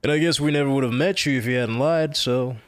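A man's voice: medium pitch, fast, no echo, natural voice. A man playing a blunt, sarcastic, grumpy member of a support group in an audio drama.

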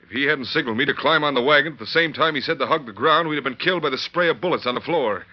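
Man doing brisk firm cowboy boss, talks fast, old radio western, muffled audio. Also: fairly high pitch, lightly acted. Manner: plain, firm, commanding. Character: brisk, authoritative western lawman or ranch foreman. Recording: dull vintage radio recording.

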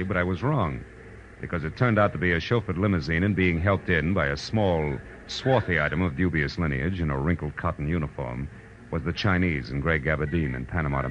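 An actor playing a tough, wry American private detective in 1940s radio style, narrating and trading punchy lines. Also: male voice, very deep voice, a little fast, a bit bright, slight background noise. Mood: wry, brisk. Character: wisecracking hard-boiled male private detective. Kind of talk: 1940s radio acting, first-person narration. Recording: muffled old radio broadcast with music.

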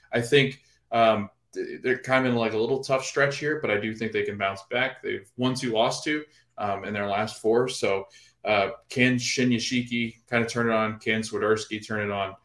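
A man's voice: on the low side, a bit bright, reverberant. A man co-hosting a soccer podcast, giving his match pick in a loose, hedging way. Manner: hedging, many fillers and restarts. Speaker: podcast co-host. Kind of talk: casual sports chat.

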